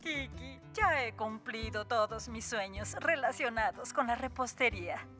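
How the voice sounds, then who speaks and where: A woman's voice: fairly high pitch, measured pace, close to an everyday voice. A woman voicing a genial, folksy older lady baker in a children's cartoon dub, breezy and theatrical, with a Latin American Spanish accent. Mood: gracious pride. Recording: music playing behind the voice.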